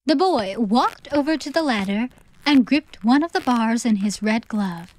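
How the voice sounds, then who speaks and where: A woman reading scripted story narration for a theatrical audiobook in an expressive, measured manner. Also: a little high, no echo, rough recording.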